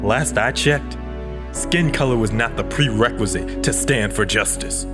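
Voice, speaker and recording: A man's voice: medium pitch, over loud music, close to an everyday voice. Man playing an earnest, principled male activist. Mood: impassioned. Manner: earnest, measured, rhetorical. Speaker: actor in an audio drama. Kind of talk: dramatic audio drama dialogue.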